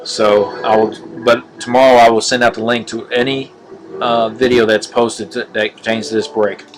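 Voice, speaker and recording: A middle-aged man hosting a live card-opening stream, talking in a flat monotone with frequent fillers and restarts. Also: mid-range voice, background noise. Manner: monotone, halting with many fillers. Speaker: card break host. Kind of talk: casual live-stream commentary. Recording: live stream with music behind. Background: music.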